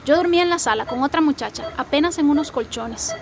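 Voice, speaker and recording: A woman's voice: sits high, fast, crowd noise. An actress playing a candid, resilient migrant woman confiding in her sister in a radio soap, with sighing delivery. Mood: weary, candid. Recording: clear background noise.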